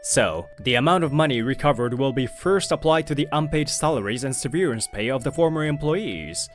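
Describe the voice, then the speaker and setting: A man narrating as a young company president, telling the story in a lively way. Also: medium pitch, fairly quick, faint music behind, lightly acted. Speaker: narrator and lead figure. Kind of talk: manga video narration.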